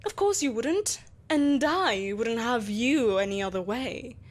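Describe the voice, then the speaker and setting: A woman's voice: on the high side, animated, measured pace. A woman playing an imperious, seductive evil empress in a scripted roleplay audio drama, speaking in a haughty, theatrical, coaxing manner. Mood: coaxing, taunting. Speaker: voice actor.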